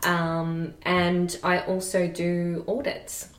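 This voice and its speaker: A woman's voice: lowish voice, monotone, slow. Chatty woman, distant and roomy. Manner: chatty, self-deprecating, laughs easily. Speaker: podcast guest, social media marketer. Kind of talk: casual podcast interview. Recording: roomy sound, distant from the mic.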